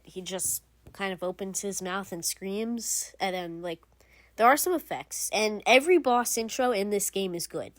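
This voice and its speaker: A woman's voice: mid-range voice, no echo. Young woman rambling casually over gameplay. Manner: rambling, many fillers and restarts. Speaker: gaming channel host. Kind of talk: casual video game commentary.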